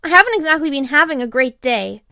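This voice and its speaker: A high-pitched female unicorn acted by a woman, recorded dry. She sounds dazed and worn out yet good-natured and wry, as in a cartoon audio drama. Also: lively intonation, fast talker, gravelly, bright, forceful tone, dry recording, heavily acted. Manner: weak, wry. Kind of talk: fan audio drama acting.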